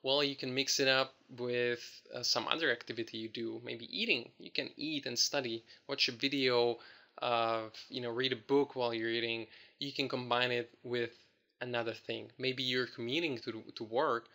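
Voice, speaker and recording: A young man talking casually to his viewers about learning languages, relaxed and chatty with lots of fillers. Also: medium pitch, normal speed. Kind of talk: casual talk to camera.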